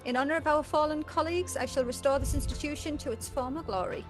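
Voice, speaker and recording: A woman's voice: sits high, quick pace, lightly acted. A woman voicing a proud, devoted keeper of a fantasy prison, formal, earnest and deferential. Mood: humbled, resolute. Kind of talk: dramatic reading of fantasy game dialogue. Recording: music under the voice.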